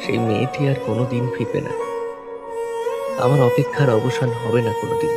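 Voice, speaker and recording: Male narrator, medium pitch, dramatic first-person horror tale, heavy musical backing. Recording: loud music under voice.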